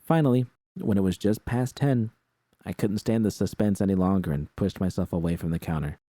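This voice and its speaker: Low-pitched male story narrator, sharp and dry. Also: a little fast, dry recording. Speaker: narrator reading fan fiction. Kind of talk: first-person scripted narration with acted dialogue.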